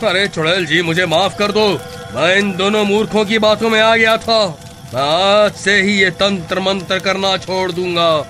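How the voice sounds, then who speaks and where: A pompous village sorcerer, performed by a man, much deeper than the other figures and forceful in tone. He is an irritable, imperious cartoon occultist who speaks as if casting spells. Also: fairly even intonation, measured pace, soft background music. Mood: begging. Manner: booming chants and imperious commands. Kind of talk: cartoon horror-comedy voice acting.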